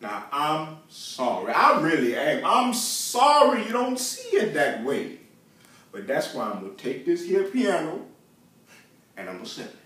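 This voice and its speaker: A man plays a stubborn, scheming Southern farmer in a folksy stage monologue. He argues in a fairly high, very animated voice, stretching words for emphasis. The sound is heavily echoey. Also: heavily acted. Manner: drawn-out emphatic words, hums and chuckles, argumentative. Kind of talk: theatrical monologue in folksy vernacular. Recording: very echoey room, distant microphone.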